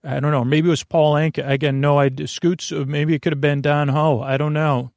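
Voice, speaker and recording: Man as distracted podcast listener, creaky fry, hesitant, musing, noncommittal, dry sound. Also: on the high side, flat delivery, fairly quick, darkish tone, no echo, natural voice. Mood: vague, musing. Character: vague, barely attentive regular podcast listener over breakfast.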